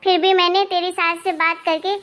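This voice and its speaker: A woman voicing a kindly older mother in an animated family story, patient and soothing as she explains things. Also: very high-pitched, normal speed. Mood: patient explaining.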